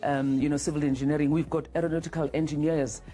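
A middle-aged woman from South Africa speaking as a company head on a TV morning show, measured and halting with many fillers and restarts. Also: low voice, flat delivery, mellow, faint music behind. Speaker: CEO guest. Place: TV studio set.

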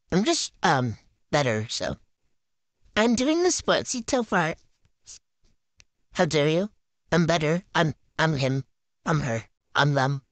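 A giggly woman bantering through a silly game video, her voice bouncing up and down in pitch as she brags in teen slang. She sounds close to the mic in a dead, dry space. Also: no room sound. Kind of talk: playful improvised banter voicing game characters.

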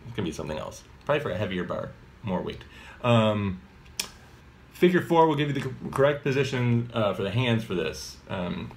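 A man's voice: on the low side, very animated, moderate pace, slight background noise. A man in his thirties giving a casual music lesson at home, rambling with many fillers and self-corrections, recorded on a phone held at arm's length in a kitchen. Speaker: mallet percussion teacher. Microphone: phone camera at arm's length.

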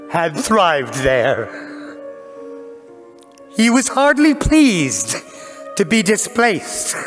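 An older man narrates a stage show at a lectern microphone in a large church hall, with music behind him. His voice is high for a man and extremely slow, each phrase set apart by dramatic pauses. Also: fairly bright tone. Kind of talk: theatrical scripted narration.

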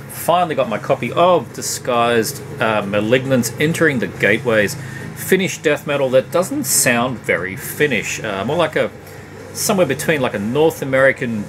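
A middle-aged man chatting casually about his records at home, relaxed and rambling, with many uhs and ums. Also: mid-range voice. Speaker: vinyl collection vlogger. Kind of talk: casual record review chat. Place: home room lined with CD shelves. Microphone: camera at desk distance. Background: background hum, faint tape music.

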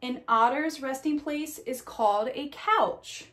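A woman in her thirties with a fairly high voice reads a children's picture book aloud as a teacher would. She speaks straight to her young listeners, adding asides and checking in with short questions. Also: takes it slowly, a bit bright. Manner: addresses young listeners directly with questions and tag "right?". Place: small indoor room at home or classroom corner.

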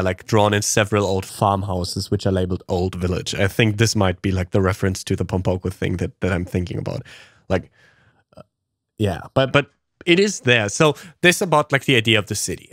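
Low-voiced man chatting about film. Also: a bit bright, no room sound, clean studio sound. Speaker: podcast panelist. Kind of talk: casual film discussion.

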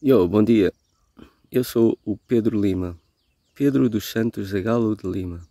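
A man in his forties with a Lisbon accent, reminiscing haltingly and reflectively in a personal video message recorded outdoors on a phone. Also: lowish voice, mellow, dry recording, studio quality. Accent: European Portuguese, Lisbon. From Lisbon, Portugal. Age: 40s. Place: outdoors in a garden. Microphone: phone at arm's length.

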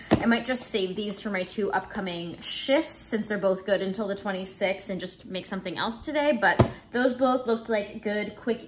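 A woman in her twenties chatting casually in her home kitchen, relaxed and full of ums and likes, filmed by a camera in front of her. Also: a little high, very noisy. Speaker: vlogger. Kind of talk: casual grocery haul chat. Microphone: camera in front, close framing.

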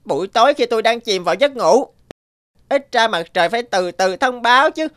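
A woman voicing an indignant, aggrieved bird in an animated fairy tale. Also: a little high, brisk, lightly acted.

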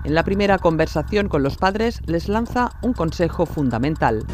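A man's voice: fairly high pitch, lively intonation, moderate pace, over music. A man narrating a scripted true-crime documentary.

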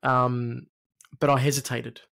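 A man in his late thirties from Egypt and Australia, a podcast guest sharing personal stories in a reflective, halting way with repeated phrases. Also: mid-range voice, flat delivery, gravelly, fairly bright tone. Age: late 30s. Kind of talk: personal storytelling in conversation. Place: small home studio, indoors. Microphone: close podcast mic on arm.

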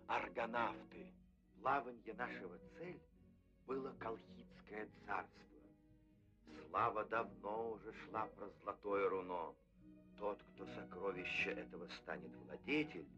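A man playing an ancient Greek hero who solemnly recalls his voyage in declamatory verse for a cartoon. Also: fairly low pitch. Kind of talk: epic verse narration in a Soviet cartoon. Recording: dull old film soundtrack with music.

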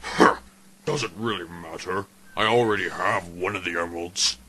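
A man's voice: sits low, normal speed, poor audio. A man playing a cold, cruel villain in an amateur radio play, taunting and grandiose. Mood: smug menace. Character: menacing male villain spreading darkness, cold and cruel. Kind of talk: amateur audio drama acting. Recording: voice under loud music.

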